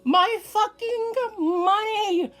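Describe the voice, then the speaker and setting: Animated, foul-mouthed eighteen-year-old woman. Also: extremely high pitch, very slow, faint music behind. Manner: animated, dramatic, swearing often. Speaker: vlogger. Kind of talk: casual storytime.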